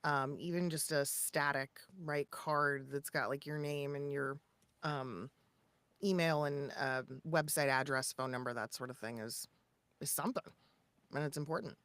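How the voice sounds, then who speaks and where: A woman's voice: sits low, steady tone, a little slow, fairly bright tone, no echo. Dry-sounding woman chatting casually with advice. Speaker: podcast co-host and voice-over coach.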